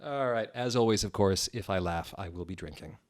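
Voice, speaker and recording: A man's voice: fairly low pitch, a little fast, slight background noise. A man hosting a dramatic comedic reading, theatrical and wry, with casual asides. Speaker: host reading fan fiction aloud.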